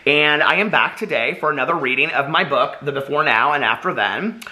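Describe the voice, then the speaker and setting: A man's voice: on the high side, fairly quick, bright, forceful tone, rough recording. A man who is slightly losing his voice chats casually, then reads his own novel aloud in an unpolished way with small restarts. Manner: chatty, friendly intro, unpolished read-through with small restarts.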